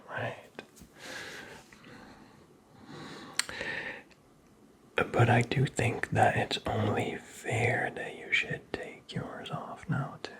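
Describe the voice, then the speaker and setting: Man playing a whispering, flirtatious, flustered prince. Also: medium pitch. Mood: teasing, coaxing. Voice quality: breathy, whispery. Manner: halting, teasing, awkwardly self-correcting. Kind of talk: ASMR roleplay, soft whispered speaking.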